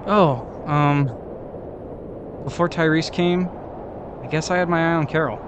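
An awkward, lonely young man played by an actor talks very slowly and hesitantly. It is an amateur comic dub with clear background noise. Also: a little high, steady tone, lightly acted. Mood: sheepish. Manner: hesitant, halting.

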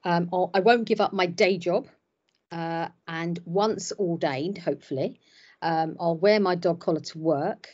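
A woman's voice: lowish voice, measured pace, a little mellow. A middle-aged woman whose first language was Cypriot Greek, chatting in a self-deprecating way with lots of fillers, recorded at home over a laptop webcam. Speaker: ordinand and hairdresser. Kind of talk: casual interview on a video call. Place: home room by a window. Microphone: laptop webcam on a video call. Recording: dull lo-fi video call audio.

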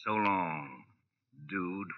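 A man's voice: low-pitched, lively intonation, extremely slow, heavily acted. An American man playing a grim, threatening Western gambling boss with folksy tough talk, in 1940s radio acting style. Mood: menacing. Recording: muffled old-time radio recording.

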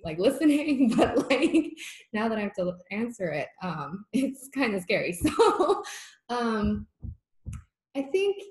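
A woman's voice: medium pitch, darkish tone. A young woman from Massachusetts whose first language is Korean, chatting at home over a video call in a rambling way, laughing often and using many filler words. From United States, Massachusetts. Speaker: conversation guest. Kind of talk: casual reflective chat. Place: home room. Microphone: video call laptop mic. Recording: roomy echo on a video call.

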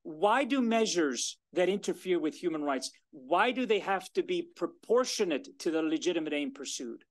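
A man in his thirties giving a formal keynote speech at a lectern in a conference room, filmed from across the room. He talks with emphasis and a deliberate pace, stressing key words. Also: on the high side, expressive. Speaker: keynote speaker. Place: conference room, medium size, indoors. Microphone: standing at a lectern, filmed by a far camera.

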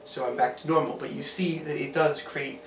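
A man's voice: on the high side, steady tone, normal speed. A young man hosting a home science demonstration, earnest and explaining things step by step, often restarting his sentences. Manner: earnest, with frequent restarts and throat clearing. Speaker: video host. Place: small room at home. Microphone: camera mic from a few feet away. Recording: roomy echo from a far camera mic, hiss.

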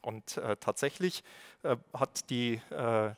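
A man in his forties with a Bavarian accent delivers a formal welcome address from a lectern. His pitch sits in the middle. He reads at a measured pace, with the occasional hesitation. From Lower Bavaria. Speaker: city education official giving a welcome speech. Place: stage at a lectern, indoors. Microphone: lectern microphone.

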